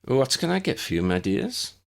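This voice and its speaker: A man's voice: mid-range voice, big pitch swings, moderate pace, creaky, pitched above the performer's own voice. A man voicing a kindly, motherly village shopkeeper woman in a storybook, soothing and full of endearments.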